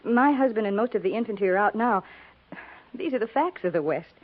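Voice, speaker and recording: An American actress playing a practical, seasoned frontier army wife, neighborly but grave, speaking in a measured, matter-of-fact way in a 1950s radio drama. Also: female voice, mid-range voice, animated, lightly acted. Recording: tinny, narrow-band 1950s radio recording.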